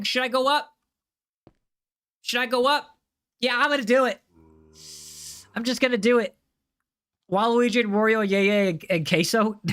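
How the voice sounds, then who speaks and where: A man's voice: sits high, bright, forceful tone. A young man live-streaming a game, chatting casually with his viewers and often repeating himself. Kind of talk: live gaming stream chat.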